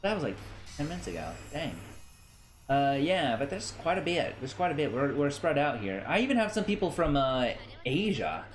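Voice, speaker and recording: A young American man chatting casually on a game stream, animated and playful, often stammering and repeating phrases. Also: a little high, moderate pace, faint music behind. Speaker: livestreamer, VTuber.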